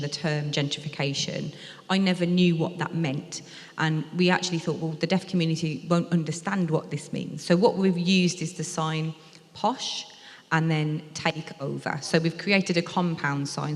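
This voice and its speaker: A woman interpreter voicing a deaf speaker's talk aloud, in a measured, explanatory way with some fillers. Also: fairly low pitch. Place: lecture hall at a museum event. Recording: reverberant lecture hall.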